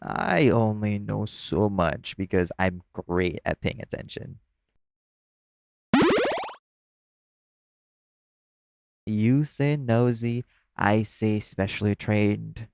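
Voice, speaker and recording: A man's voice: fairly low pitch, slow, vocal fry, a little mellow, no echo, clean studio sound. A man playing an easygoing, teasing club performer who chats casually with a coworker in an intimate audio roleplay. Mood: playful teasing.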